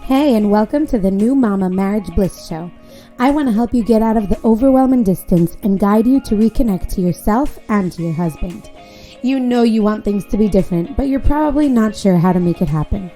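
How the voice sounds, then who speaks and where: A woman delivers the scripted opening of her podcast, upbeat and inviting. Her voice is warm and mellow. Soft music plays faintly underneath. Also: mid-range voice, lively intonation, fairly quick. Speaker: podcast host. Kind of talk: scripted show intro.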